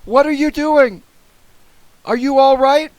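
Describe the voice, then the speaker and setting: A man voicing an excitable, pleading young boy in a children's storybook. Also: very high-pitched, slow, no room sound, voice pushed up, poor audio. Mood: worried. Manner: pleading, exclaiming. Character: excitable young boy frantic about his pet fish. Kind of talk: children's storybook read aloud.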